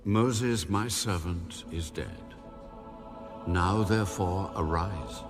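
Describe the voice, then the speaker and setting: An actor playing God in a dramatised audio Bible, speaking with solemn, weighty, commanding reassurance. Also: male voice, sits low, expressive, heavily acted. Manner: slow, measured, weighty delivery. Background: new-age music.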